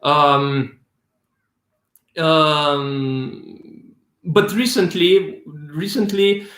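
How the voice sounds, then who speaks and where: A man's voice: a little high, very slow, fairly bright tone, reverberant. A young man from Romania with a Romanian accent, answering viewer questions in a rambling, casual live Q&A, full of restarts, laughs and swearing. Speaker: filmmaker hosting a live Q&A.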